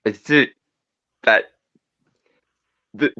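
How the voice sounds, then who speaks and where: A man playing a tabletop game, talking casually in a measured, wry, wordy way over a slightly dull microphone. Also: bright, forceful tone, dry recording.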